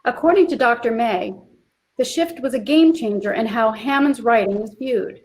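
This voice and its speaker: A woman presenting literary history in clear, emphatic scripted narration. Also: a little high, normal speed, darkish tone, poor audio.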